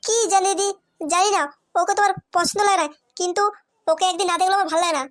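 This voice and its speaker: Squeaky, fast cartoon younger sister, a woman acting. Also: steady tone. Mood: coy, hesitant. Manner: hesitant, coy. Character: coy, unsure lovestruck younger sister.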